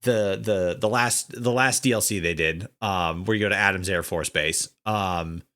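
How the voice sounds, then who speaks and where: A man in a casual podcast conversation, speaking haltingly as he searches for words and repeats himself. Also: deep voice, sharp tone. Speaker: podcast guest, audio drama writer. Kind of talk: casual chat.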